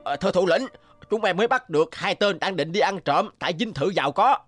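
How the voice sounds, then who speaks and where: A man's voice: sits high, expressive, brisk, fairly bright tone, no echo, clean studio sound, faint music behind. A man voicing an eager, servile bandit henchman in a cartoon, deferential to his boss. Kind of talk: cartoon voice acting.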